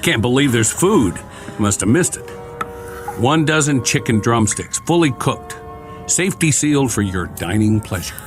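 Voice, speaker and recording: An actor playing a weary, sardonic soldier in a radio drama, gruff but not cruel, with a wry, bossy, colloquial way of talking. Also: male voice, mid-range voice, expressive, normal speed, natural voice. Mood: wry, grumbling. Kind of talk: dramatic radio acting, often talking to himself. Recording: studio radio drama with music behind.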